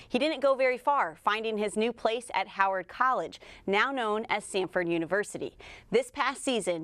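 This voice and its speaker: A woman's voice: medium pitch, a bit bright, dry recording. A woman co-anchoring and reporting in scripted TV sports news narration. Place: TV broadcast.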